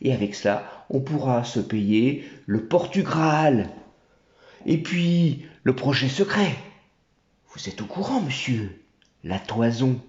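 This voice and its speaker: A man's voice: medium pitch, animated, slow pace, a little mellow, voice pushed down. A man voicing a fawning, chattering male monkey general who collects taxes. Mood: conspiratorial. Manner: obsequious, chattering. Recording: roomy, dull home recording.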